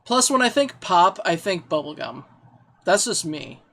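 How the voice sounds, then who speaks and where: Guy streaming from home, high voice, rambly and joking at himself, laughs a lot. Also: big pitch swings, measured pace, sharp tone. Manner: rambling, self-deprecating, laughs easily. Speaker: game streamer. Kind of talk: casual chat while playing and reading chat.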